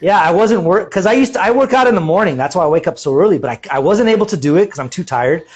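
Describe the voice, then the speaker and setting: An American man who teaches English, talking easily in a relaxed, casual chat full of fillers and restarts. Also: sits high, brisk, breathy edge. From United States. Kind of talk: casual conversation.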